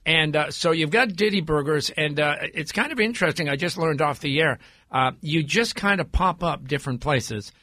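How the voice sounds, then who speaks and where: Bright, forceful male radio host. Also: a little high, moderate pace, no room sound. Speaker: radio talk show host. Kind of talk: casual on-air interview. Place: radio studio. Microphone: close studio microphone.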